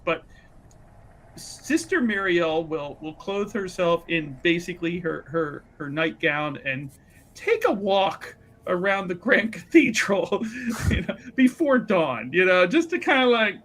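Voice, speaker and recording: A tabletop roleplaying player casually improvising narration of a character's actions, animated and giggly, often rephrasing midway. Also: mid-range voice. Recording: clear background noise.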